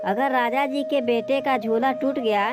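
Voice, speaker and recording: A woman's voice: high-pitched, a little slow, pitched below the performer's own voice. A woman voicing an uneasy male crow who sells bad wood in a children's cartoon story, with music behind. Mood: worried.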